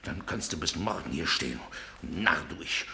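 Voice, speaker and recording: An actor in a German radio drama playing a volatile, imperious gentleman who is quick to bellow insults. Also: very deep voice, lively intonation, gravelly, noisy background, heavily acted. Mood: alarmed, contemptuous. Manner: imperious, explosive, emphatic.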